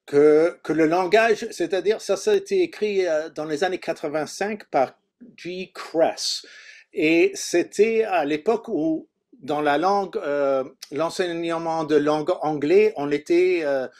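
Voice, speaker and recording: A man from Canada, a native English speaker with a mid-Atlantic English accent in his French, leading an informal teaching workshop and often pausing to search for words. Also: fairly high pitch, a little mellow. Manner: halting, searching for words in a second language.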